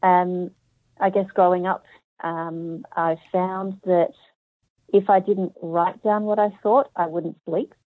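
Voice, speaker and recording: Woman, Australian accent, hesitant, pauses a lot, somewhat low voice, phone-like line. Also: steady tone, no room sound. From Hong Kong. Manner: hesitant, thoughtful, many pauses and fillers. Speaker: author guest. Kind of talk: conversational interview answers. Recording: tinny phone-like line, dry.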